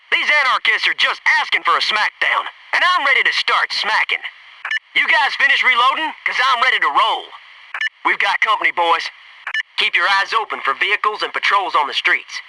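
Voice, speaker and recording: A man's voice: sits high, far from the mic, noisy background. A man playing a cocky, wisecracking young American Navy SEAL in a military video game, with punchy, wry delivery. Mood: cocky, eager. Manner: punchy, wry, eager banter and callouts.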